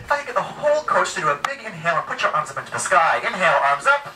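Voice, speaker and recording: Quick, playful male train attendant. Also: very animated. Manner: playful, showman-like. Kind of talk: safety announcement with jokes. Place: inside a train coach. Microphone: far camera. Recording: distant echoey train coach with rumble.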